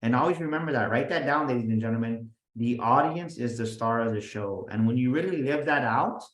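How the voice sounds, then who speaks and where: A man talking as a guest business coach, energetic and emphatic, often restarting and repeating his words. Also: medium pitch, fairly quick. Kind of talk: motivational interview talk. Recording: roomy echo.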